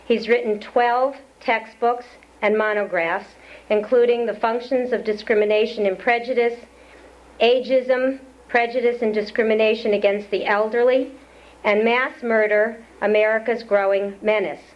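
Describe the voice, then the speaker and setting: A woman's voice: a little high, fairly even intonation, moderate pace. Woman introducing a speaker on old tape. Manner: warm personal asides before reading credentials. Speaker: event host introducing a speaker. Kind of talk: formal introduction. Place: community center hall. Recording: lo-fi 1992 lecture tape.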